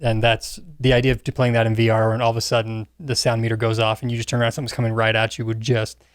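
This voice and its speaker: A man's voice: mid-range voice, monotone, fast, clean tone, dry recording, clean studio sound. A middle-aged man talking casually as a co-host on a panel podcast.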